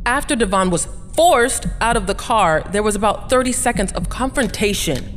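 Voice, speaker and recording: A woman's voice: mid-range voice, animated, normal speed, faint music behind, close to an everyday voice. An actress playing a defensive, upset female witness giving testimony in a courtroom audio drama. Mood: guarded. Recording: reverberant room.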